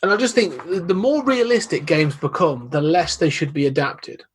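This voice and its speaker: Brief: male podcaster, slightly raised pitch, husky tone, loose rambling delivery full of restarts and asides. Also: normal speed. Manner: rambling, animated, many restarts. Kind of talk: casual podcast chat.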